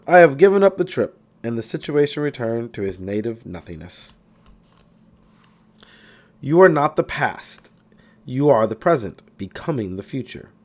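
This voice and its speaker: A man reads aloud from a self-help book in a medium-pitched voice. His intonation is very animated, rising and falling expressively. The delivery is that of an audiobook reader who is a little unpolished and sometimes stumbles.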